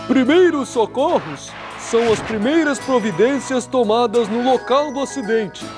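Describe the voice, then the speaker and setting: A man reading a mock instructional voice-over in a deadpan manner. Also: very high voice, a little slow. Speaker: narrator. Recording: voice-over over loud music.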